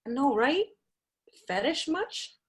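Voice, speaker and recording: A woman's voice: sits high, sing-song, slow, full character voice. A woman playing a blunt, guarded professional in a screenplay table read, talking casually and frankly. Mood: indignant.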